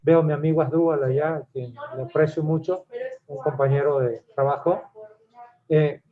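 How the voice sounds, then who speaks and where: A man with a Venezuelan accent speaks up in an online Q&A, halting often with fillers as he explains. His voice sits a little high. The audio is thin and tinny, as over a phone line. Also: steady tone, crowd noise. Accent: Venezuelan Spanish. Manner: hesitant, many fillers and restarts. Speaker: webinar attendee asking a question. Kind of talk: casual comment in a Q&A.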